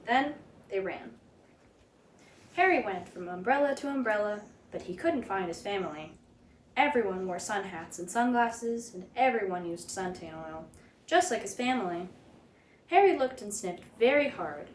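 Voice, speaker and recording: A woman reading a children's picture book aloud in an expressive, dramatic storytelling manner. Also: mid-range voice, normal speed. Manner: animated, dramatic read-aloud delivery. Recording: roomy echo, background noise.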